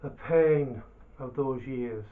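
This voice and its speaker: A man telling a folk tale as a storyteller, in a theatrical, deliberate manner with dramatic pauses and repetition. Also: mid-range voice. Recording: thin phone-like sound.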